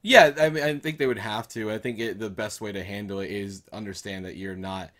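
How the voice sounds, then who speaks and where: A man's voice: fairly low pitch, fairly even intonation, fairly quick, a bit bright. A man chatting casually as a co-host on a video game talk show.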